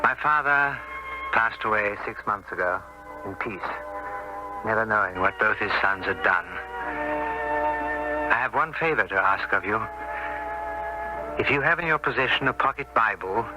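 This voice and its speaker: Actor as a low, solemn, bereaved confessor. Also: male voice, lightly acted, rough recording. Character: solemn bereaved man making a written confession, humbly pleading. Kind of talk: radio drama monologue.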